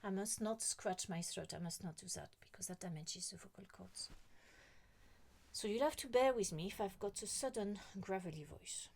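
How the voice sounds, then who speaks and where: A middle-aged woman talking slowly and rambling to her viewers, often trailing off into a whisper. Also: medium pitch, gravelly, a little mellow. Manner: slow, rambling, musing, often drops to a whisper. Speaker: vlogger. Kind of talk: casual monologue to camera.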